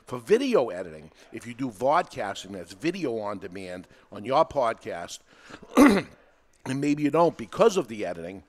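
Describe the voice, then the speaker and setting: A man's voice: medium pitch, big pitch swings, normal speed. A man with a New England accent hosting a live podcast in a studio, talking plainly and pushing each point with energy. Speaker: podcast host.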